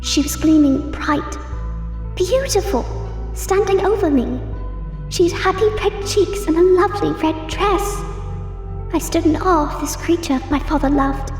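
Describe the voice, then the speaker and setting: A woman's voice: very high-pitched, a little slow, darkish tone, faint music behind. A woman voicing a sinister, obsessive robot girl who yearns for a father's love, delivered as a dramatic comic dub monologue. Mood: wistful, eerie.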